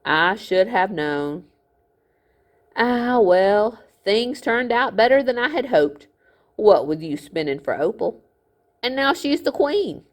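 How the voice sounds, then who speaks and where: A woman's voice: medium pitch, big pitch swings, pitched above the performer's own voice. A woman acts out a fat, greedy older miller from a storybook. He gloats with false gentility and takes his time over each phrase. The villainy is smug and oily. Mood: gloating. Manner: drawn-out, falsely genteel gloating.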